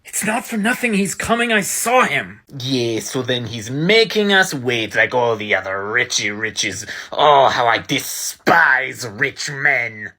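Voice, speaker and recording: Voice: medium pitch, big pitch swings, takes it slowly, few pauses, pushed and bright, voice pushed down, exaggerated voice. A voice actor playing a greedy, scheming poor old man who fawns over a rich visitor, wailing melodramatically. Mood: scornful. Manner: fawning, melodramatic, swings from sneer to wail. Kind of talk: audio drama reading.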